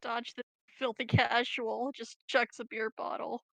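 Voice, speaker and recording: A woman's voice: a little high, flat delivery, unhurried, creaky, sharp tone, no echo, low-quality recording. A woman chatting casually as a player in a tabletop game.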